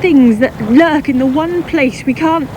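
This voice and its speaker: An actress playing an emotional, stubborn, sharp-tongued wife fleeing disaster in a radio drama. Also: female voice, high-pitched, measured pace. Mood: anguished, despairing. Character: anguished, stubborn wife fleeing a drowned Britain. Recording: noisy outdoor boat and water sound effects.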